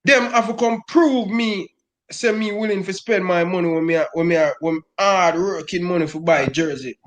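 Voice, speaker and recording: A man with a high, lifted voice and a thick Jamaican Patois accent. He's a middle-aged football fan on a rant, pressing every point hard and tacking "brother" onto nearly every phrase. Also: moderate pace. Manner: emphatic, repeats "brother" constantly.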